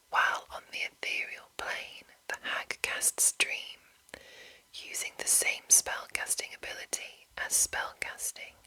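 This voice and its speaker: A woman whispering in a breathy, unvoiced voice, softly and deliberately reading aloud as an ASMR host. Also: poor audio. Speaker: ASMR video host and narrator.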